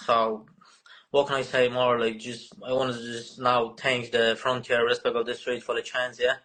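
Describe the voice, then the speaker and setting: A man in his twenties with a Polish accent, a fighter answering interview questions in halting, broken English full of fillers and swearing. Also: medium pitch, little pitch movement, sharp tone, poor audio. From Poland. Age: 20s.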